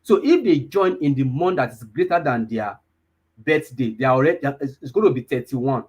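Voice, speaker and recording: A man's voice: mid-range voice, animated, normal speed, darkish tone, breathy edge. A man, teaching a live online data class, repeating himself and often checking whether listeners follow. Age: 20s. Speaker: data analysis instructor.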